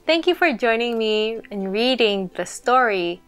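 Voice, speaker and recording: A woman reading a storybook aloud to children in an expressive, explanatory manner. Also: fairly high pitch, a little slow, clear, resonant tone, soft background music.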